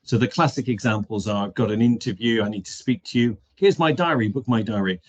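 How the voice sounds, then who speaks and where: A man's voice: medium pitch, fairly quick. A middle-aged man explaining things casually as a guest on a video call. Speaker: panel guest. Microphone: video call microphone. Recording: dull lo-fi call audio.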